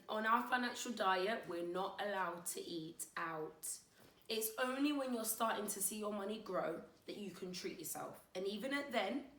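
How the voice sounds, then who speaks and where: A woman with a croaky voice from being unwell, giving chatty, direct money-saving advice to her viewers in a casual vlog. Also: mid-range voice, fairly bright tone, roomy sound. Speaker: finance vlogger.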